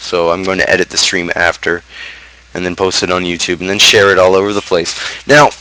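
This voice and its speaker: A man's voice: low-pitched, fairly even intonation, fairly quick, sharp tone, rough recording. A man hosting a casual livestream chat, rambling along with self-mocking remarks. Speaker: streamer and show host.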